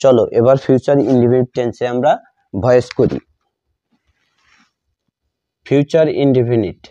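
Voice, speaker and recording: A male teacher whose first language is Bengali explains a lesson step by step. His voice is mellow and medium-pitched, and he goes slowly, repeating terms as if writing them out. The recording sounds slightly dull and lo-fi. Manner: deliberate, repetitive, dictating term by term. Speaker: English grammar teacher.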